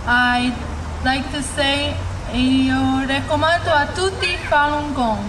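A woman from Italy giving a bright, welcoming greeting. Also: high-pitched, slow, reverberant, far from the mic, background noise. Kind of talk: short spoken greeting.

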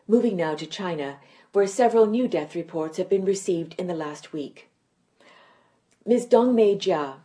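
A woman news anchor reads her script in an even, measured way. Her voice sits a little low and sounds mellow and warm.

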